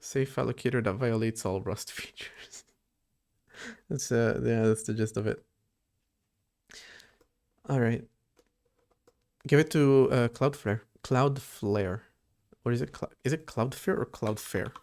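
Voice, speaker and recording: A man chats casually on a live coding stream, his voice gravelly with creak at the ends of phrases. He rambles and thinks aloud, drifting between his code and the viewers' messages. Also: medium pitch, unhurried, pauses often, darkish tone. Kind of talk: casual livestream chat while coding.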